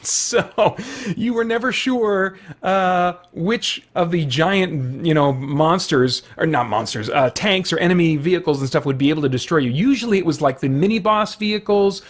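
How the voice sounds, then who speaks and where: A man with a fairly high-pitched voice hosts an informal, unscripted video. He rambles casually, thinking aloud as he moves from point to point. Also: animated, normal speed. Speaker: video host. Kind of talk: casual unscripted chat about retro games.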